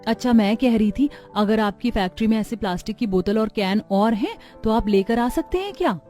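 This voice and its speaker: Woman acting resourceful village housewife, higher mellow voice. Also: animated, brisk, soft background music, lightly acted. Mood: curious, practical. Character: resourceful, caring young village housewife and mother.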